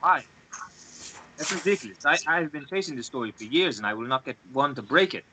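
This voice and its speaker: A man's voice: a little high, animated, brisk, bright, forceful tone, slight background noise, poor audio. A man playing a driven, suspicious journalist in a drama read-through, speaking in an insistent, clipped way. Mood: indignant.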